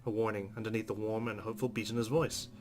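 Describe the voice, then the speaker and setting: A man's voice: fairly low pitch, level tone, quick pace. A man hosting a let's play, reading visual novel narration aloud expressively with casual asides. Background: game music.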